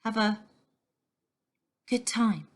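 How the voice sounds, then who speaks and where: A woman voicing a gentle, tearful fairground father in a storybook, speaking with tender encouragement. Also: fairly high pitch, drawn-out words.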